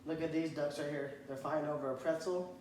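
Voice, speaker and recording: A man reading a children's picture book aloud to a child, stopping to point at the pictures and ask questions, and often clearing his throat. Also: a little high, fairly even intonation, fairly quick, a little mellow. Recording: echoey room, faint background noise.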